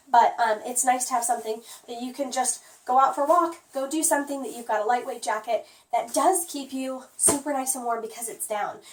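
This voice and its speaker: A hoarse woman who has nearly lost her voice, chatting casually through a product review with lots of "um"s. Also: fairly high pitch, recorded from a distance, a little hiss or hum, low-quality recording. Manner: chatty, rambling, frequent "um".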